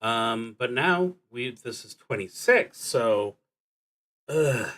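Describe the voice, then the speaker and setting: A man with a mid-range voice commentates on his solo roleplaying session as he plays. He speaks very slowly and deliberately, often pausing in the middle of a thought as he works things out aloud. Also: medium pitch, expressive, fairly bright tone. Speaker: streamer playing a solo tabletop roleplaying game. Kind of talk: live solo game commentary, thinking aloud.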